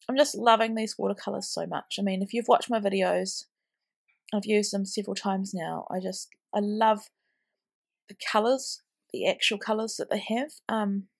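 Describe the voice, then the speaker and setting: A woman's voice: mid-range voice, gravelly. Stuffy-nosed woman chatting over crafting. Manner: chatty, rambling with ums. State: stuffy nose from a cold; late pregnancy, tired. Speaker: scrapbooking vlogger.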